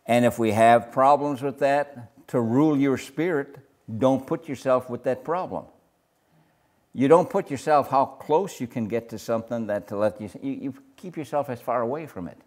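An older man with a naturally loud voice, leading a Bible study group discussion in a casual, talkative way. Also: mid-range voice, normal speed. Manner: loud, gets louder when excited.

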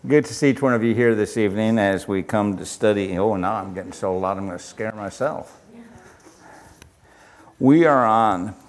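Older man, low soft voice, folksy Bible class teacher who chuckles easily. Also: moderate pace.

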